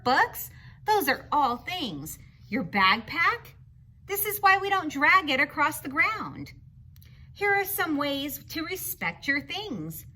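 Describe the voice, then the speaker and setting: A woman reads a children's book aloud in a very bright, high voice. She takes her time, speaking in an animated, teacherly manner and addressing young listeners directly. There is faint noise in the background. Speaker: picture-book reader. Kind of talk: children's read-aloud with explanatory asides.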